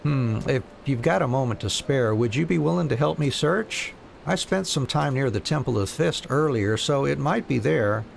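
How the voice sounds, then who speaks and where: Man playing sheepish rebel soldier, creaky voice. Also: mid-range voice, expressive, moderate pace, few pauses, a little hiss or hum. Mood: sheepish, pleading. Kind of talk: reading fantasy game dialogue aloud.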